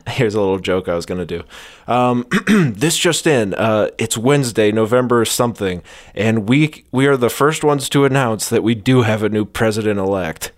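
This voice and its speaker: Animated male comedy podcast host. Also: lowish voice, moderate pace. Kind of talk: casual comedic chat.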